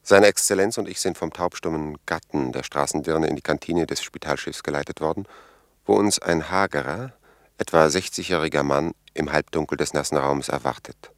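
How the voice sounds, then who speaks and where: An actor playing a dutiful government aide dictating an official log, clipped and formal, in 1950s radio drama style. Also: male voice, a bass voice, normal speed, dry recording, clean studio sound. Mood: businesslike. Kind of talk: 1950s German radio play narration.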